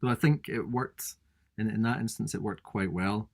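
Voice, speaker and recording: A man, a design researcher, giving a thoughtful, halting answer on a remote panel call, often restarting his sentences. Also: lowish voice, creaky.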